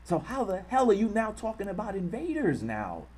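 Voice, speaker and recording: An American man hosting a live-streamed commentary, passionately hammering repeated rhetorical questions and pausing to thank viewers. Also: high voice, wildly swooping pitch, darkish tone, slightly rough. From United States. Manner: impassioned, repetitive rhetorical questions. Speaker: online channel host.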